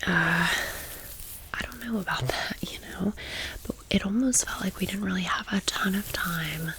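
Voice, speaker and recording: A woman's voice: fairly low pitch, a bit bright, rough recording. A woman from Tennessee in her thirties whispering in a chatty, confiding way as an ASMR storytime host. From Tennessee, United States. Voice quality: breathy whisper.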